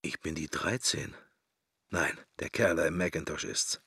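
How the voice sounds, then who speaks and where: Gravelly, brooding man in private thought. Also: extremely low pitch, a little slow, pushed and bright, no room sound, heavily acted. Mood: uneasy, wry. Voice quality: gravelly, husky. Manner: murmured, wandering inner monologue in fragments. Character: brooding, wry middle-aged man musing on death. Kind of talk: radio drama interior monologue. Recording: roomless, very dry studio sound.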